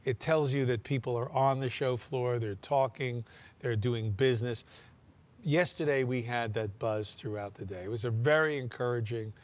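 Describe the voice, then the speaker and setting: A man, head of a trade association, giving measured interview answers with frequent "uh" pauses and a dry sense of humor. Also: medium pitch.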